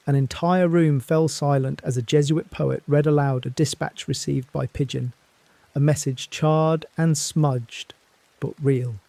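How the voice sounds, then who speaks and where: Guy doing a solemn foreign correspondent, fairly high-ish voice but mellow, earnest, dry close sound. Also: gravelly, dry recording. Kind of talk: dramatic period radio reporting.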